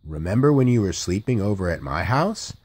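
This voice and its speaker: A kind bear from a children's book, read by a man. He speaks in a gentle, encouraging way, with an animated rise and fall and a slightly husky tone. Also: on the low side, a little fast. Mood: reassuring. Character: kind, reassuring male toy bear.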